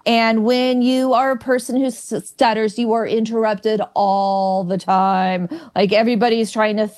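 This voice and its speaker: Woman who stutters, candid and quick. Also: fairly high pitch, flat delivery, a little mellow. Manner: stutters, with repeated sounds and blocks. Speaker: comedian and author, guest. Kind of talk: interview conversation.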